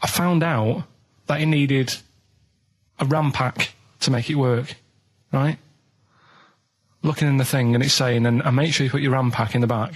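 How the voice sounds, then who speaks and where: A young man, telling a long story on a radio show in halting, rambling sentences full of restarts. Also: medium pitch, fairly even intonation. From England. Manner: rambling, halting, plain-spoken anecdotes with many restarts.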